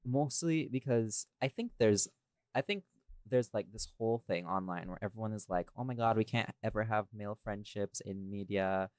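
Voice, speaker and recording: Low-voiced man in dry, close podcast chat. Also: moderate pace, darkish tone, no echo. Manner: rambling, opinionated, casually profane. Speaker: podcast host and audio drama writer.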